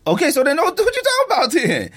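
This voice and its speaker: An American man hosting a casual podcast debate, talking in an animated way and repeating his points insistently. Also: very high voice, quick pace. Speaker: podcast host.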